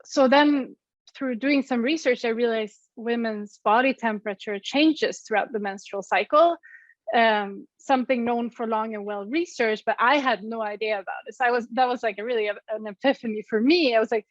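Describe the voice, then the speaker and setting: A woman's voice: fairly high pitch, moderate pace, no room sound, highs cut off. A woman with a Swedish accent, a guest on an interview over a call, speaking thoughtfully with many ums and likes. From Sweden. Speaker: guest, app co-founder.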